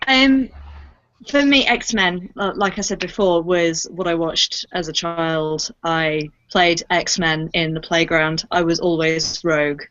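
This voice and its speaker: A woman's voice: lowish voice, fairly even intonation, slow pace, fairly bright tone, dull audio. A young woman chatting casually about her fandom as a cast member on a panel. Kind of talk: casual fan chat.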